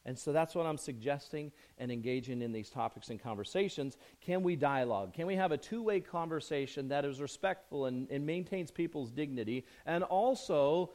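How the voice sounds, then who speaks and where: A man preaching a conversational sermon as pastor, hoarse from a chest cold, chatty and self-joking with frequent restarts. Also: on the high side, darkish tone.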